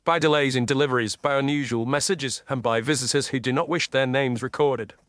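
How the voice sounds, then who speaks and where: A man voicing a coolly commanding gentleman detective in an audio story, formal, clipped and incisive. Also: medium pitch, fairly even intonation, a little fast, no echo, voice pushed up, lightly acted.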